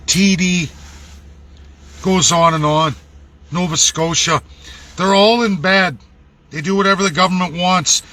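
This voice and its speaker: A man's voice: high voice, pushed and bright. A man with a Canadian accent delivering a blunt, indignant, emphatic and profane unscripted political rant as a vlogger. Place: laundromat.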